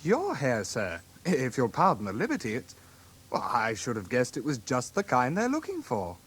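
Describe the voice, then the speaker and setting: Husky, coaxing shop assistant. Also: male voice, medium pitch, huge pitch swings, a bit bright, exaggerated voice. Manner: obsequious, coaxing, pausing for effect. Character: smooth-talking, deferential, coaxing male shop assistant. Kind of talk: British radio drama acting.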